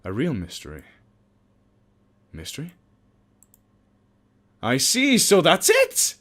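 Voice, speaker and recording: A man's voice: a little high, huge pitch swings, slow, a bit bright. A young man casually reading a visual novel aloud for a let's play. He jokes, swears and mocks himself as he stumbles over words.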